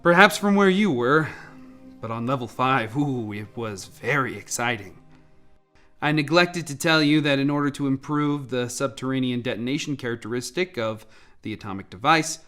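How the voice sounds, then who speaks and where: A man's voice: medium pitch, animated, moderate pace, bright, forceful tone, faint music behind. A man acting in an audio drama as a composed, earnest scientist who explains things precisely. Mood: dryly wry. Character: composed, earnest male scientist on a crisis team.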